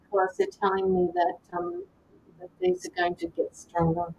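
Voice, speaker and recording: A woman doing live tarot and mediumship readings, speaking gently to viewers by name with frequent hesitations. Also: very animated, moderate pace, vocal fry. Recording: slightly dull lo-fi sound with faint rumble.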